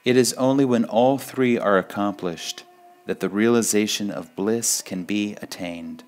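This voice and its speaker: Man, low pitch, very slow pace, devotional reading, measured phrases with pauses. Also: a little mellow, soft background music. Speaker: reader of spiritual sayings.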